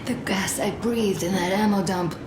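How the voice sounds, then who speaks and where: A woman's voice: mid-range voice, normal speed, reverberant, noisy background, lightly acted. An actress playing an ailing but stoic young woman in a video game, speaking in a subdued, earnest way. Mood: confessing, weak. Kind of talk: dramatic video game voice acting.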